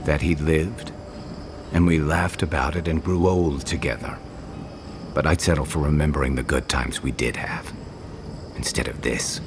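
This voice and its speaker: An actor playing a gruff, haunted veteran adventurer in a video game, weary and wry, with a gravelly voice. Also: male voice, steady tone, moderate pace, noisy background. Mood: regretful, wistful. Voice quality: very deep, gravelly. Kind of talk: video game character acting. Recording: music behind the voice. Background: music.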